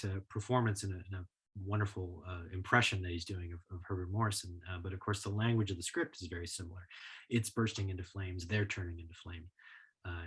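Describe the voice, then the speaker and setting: A man delivers a prepared history lecture. His voice is on the low side and noticeably husky, in the manner of an author presenting research. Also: fairly bright tone, rough recording. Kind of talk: scripted lecture with played audio clips.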